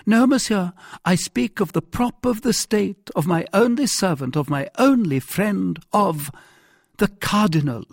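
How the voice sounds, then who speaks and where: A male narrator plays a petulant French king from an audiobook. He pitches his voice high and swings it about in querulous, theatrical complaint. He sounds self-pitying and quick to flare into temper. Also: lively intonation, vocal fry, dry recording, studio quality, full character voice. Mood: agitated, accusing. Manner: querulous, theatrical, emphatic outbursts. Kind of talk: dramatised audiobook character voice.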